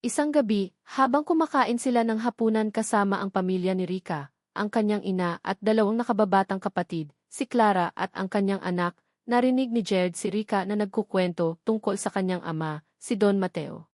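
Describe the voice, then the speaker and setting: A woman's voice: medium pitch, a little fast, darkish tone, dry recording, studio quality. A woman reading a scripted romantic drama as a story narrator.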